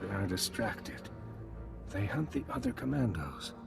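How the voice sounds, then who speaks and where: A man's voice: on the low side, big pitch swings, a little slow, creaky, exaggerated voice. A man playing a grim, war-weary alien squad leader in a video game, speaking tersely and solemnly, with long reverb and music.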